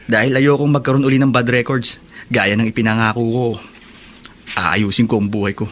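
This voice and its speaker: A man's voice: medium pitch, steady tone, a little fast, natural voice. A man playing an earnest, emphatic young prisoner set on reform in a radio drama. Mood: earnest resolve. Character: earnest young male inmate determined to reform himself. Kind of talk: Filipino radio drama acting. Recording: faint background noise and ambience.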